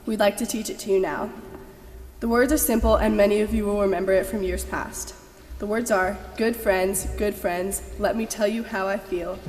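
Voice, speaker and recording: A woman's voice: mid-range voice, fairly even intonation, normal speed, darkish tone. A young woman making a prepared announcement to a church congregation. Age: young adult. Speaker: youth service song leader. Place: large church sanctuary. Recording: hall reverb, noisy room.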